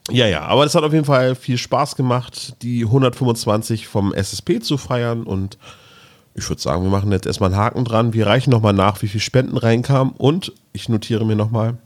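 A man's voice: medium pitch, fairly quick. A man co-hosting a podcast in casual, conversational chat. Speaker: podcast co-host.

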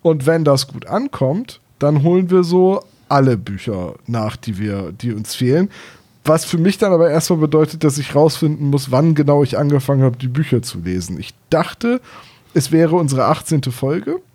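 A man with a somewhat high-pitched voice talks as a podcast co-host. His delivery is casual and conversational, with informal, joking turns of phrase. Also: lively intonation, normal speed, darkish tone. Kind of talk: casual chat.

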